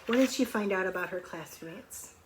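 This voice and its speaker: A woman's voice: medium pitch, moderate pace, noisy background. A woman teacher reading a storybook aloud to young children, expressively, with conversational asides and questions.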